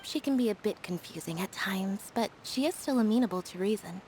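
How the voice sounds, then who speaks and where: A woman's voice: mid-range voice, lively intonation, brisk, a little mellow, a little hiss or hum, lightly acted, rough recording. A woman voicing a reserved, composed young magician's assistant in an anime game, understated in delivery.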